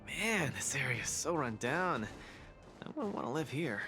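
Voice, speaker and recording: A man's voice: mid-range voice, big pitch swings, moderate pace, sharp tone, faint music behind. A man playing an upbeat, confident young fighter in a video game, breezy and friendly with his slang.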